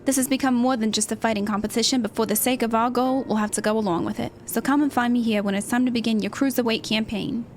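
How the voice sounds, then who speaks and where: A woman's voice: fairly high pitch, steady tone, fast talker, few pauses, faint music behind, natural voice. A woman voicing an earnest, worried young female fighter from a video game, sincere and pleading. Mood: earnest worry.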